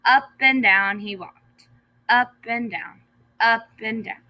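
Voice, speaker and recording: Very bright-voiced woman reading to children. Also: fairly high pitch, no echo, rough recording. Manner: expressive, deliberate, stresses repeated words. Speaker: first grade teacher. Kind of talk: children's story read-aloud with asides to pupils.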